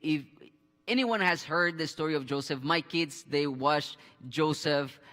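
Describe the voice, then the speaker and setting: A man in his thirties hosting a church Bible discussion on a headset mic, halting with restarts and fillers. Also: on the high side, bright, forceful tone, big-room sound. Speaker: pastor and host. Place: church studio with a screen behind.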